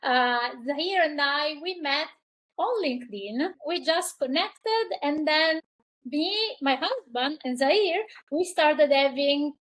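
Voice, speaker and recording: A woman's voice: high-pitched, expressive, slow pace, fairly bright tone, low-quality recording. A woman hosting a podcast, welcoming her guest with effusive enthusiasm and restarting her phrases now and then. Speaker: podcast host. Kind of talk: warm conversational interview. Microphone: video call.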